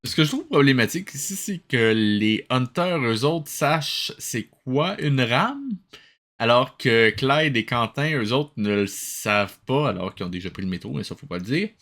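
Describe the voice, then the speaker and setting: A man's voice: mid-range voice, expressive, moderate pace, fairly bright tone. A man with a Quebec French accent giving sarcastic, nitpicking reaction commentary, dropping in English phrases. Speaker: host of a cartoon review show.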